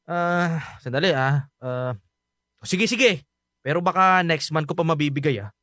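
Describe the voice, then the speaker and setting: A man's voice: on the high side, a little slow, pushed and bright, dry recording, studio quality. A man playing a cocky, easily annoyed young friend in a radio drama, whiny and teasing, with a Filipino accent.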